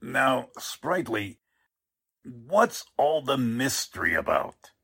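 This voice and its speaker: An actor playing a bewildered, flustered newspaper boss, halting and exclamatory, in 1940s radio drama style. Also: male voice, mid-range voice, very animated, creaky, fairly bright tone, slightly rough, dry recording, exaggerated voice.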